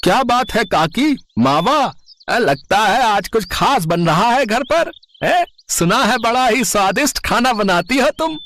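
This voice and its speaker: A man voicing nosy, cajoling village men in an animated moral story, with a questioning, exclamatory way of talking. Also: very high voice, measured pace, slight background noise, full character voice. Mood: teasing, cajoling.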